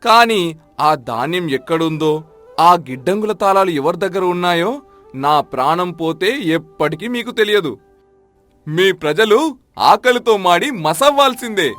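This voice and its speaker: A man's voice: sits high, animated, a little slow, a bit bright, soft background music. A man playing an arrogant, scheming grain merchant in a folk-tale drama, haughty and mock-polite as he makes threats. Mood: defiant.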